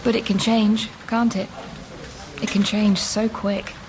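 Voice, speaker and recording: A woman's voice: mid-range voice, flat delivery, natural voice. A woman playing a chatty, laughing Londoner. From Leeds and Bristol. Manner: chatty, quick to laugh, rambling. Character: chatty woman living in London, warm and talkative. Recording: radio drama with background noise.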